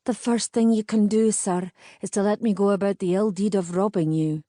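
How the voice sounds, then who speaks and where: A woman reading aloud doing a bold young Scottish woman, firm and commanding with a sharp tongue. Her tone is mellow and close, with a dry sound. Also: mid-range voice, steady tone, normal speed, no room sound, lightly acted. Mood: urgent. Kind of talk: audiobook character voice.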